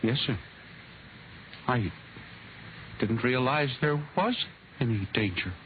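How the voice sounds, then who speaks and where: A man playing an eager, nervous and deferential bureau employee, hesitant and excitable, in a 1950s radio drama. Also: mid-range voice, slow pace. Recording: noisy narrow-band vintage radio recording.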